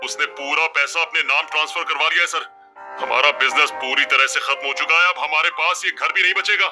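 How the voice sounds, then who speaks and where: A man's voice: fairly high pitch, fairly even intonation, fairly quick, lightly acted. A man voicing a worried office manager in a story, deferential but bluntly reproachful. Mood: alarmed, reproachful. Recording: music bed under the voice.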